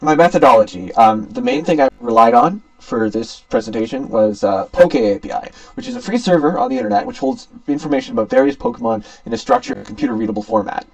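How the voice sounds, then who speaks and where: A man's voice: medium pitch, sing-song, quick pace, gravelly, hardly any pauses, recorded from a distance, rough recording. A young man giving an academic student presentation in an informal, somewhat hesitant explaining manner. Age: young adult.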